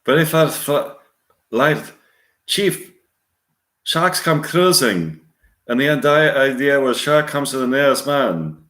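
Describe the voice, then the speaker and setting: A man playing a grizzled sailor in a film monologue, attempting an Irish accent in grave, halting storytelling. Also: mid-range voice, animated, fairly bright tone. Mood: grim, haunted. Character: grizzled Irish sailor recounting a shark horror. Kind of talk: movie monologue reading. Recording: roomy, echoing space.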